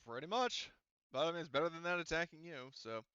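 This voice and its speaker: A man acting as game master in an online roleplaying session, thinking aloud and haltingly working through rules and dice. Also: a little high, pushed and bright, smooth, dry recording, lo-fi sound.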